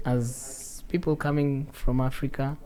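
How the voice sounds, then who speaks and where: A man's voice: mid-range voice, little pitch movement, a little slow, warm tone, smooth. A man with a Kenyan accent hosting a casual radio interview in a chatty way, mixing in Swahili phrases. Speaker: radio show host.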